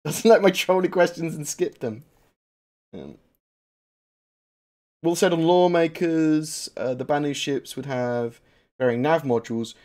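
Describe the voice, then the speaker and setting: A man's voice: fairly high pitch, unhurried, long pauses, darkish tone. A young man chatting casually on a live stream, answering viewer questions with lots of fillers, restarts and swearing.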